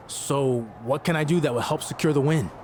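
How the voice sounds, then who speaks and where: An actor playing an earnest, determined wrestling champion in a video game cutscene. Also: male voice, fairly high pitch, a little fast, darkish tone, slight background noise, lightly acted. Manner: earnest, questioning.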